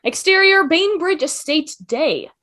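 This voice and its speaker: A woman's voice: very high-pitched, expressive, measured pace. A woman reading scripted stage directions as narrator of a table read.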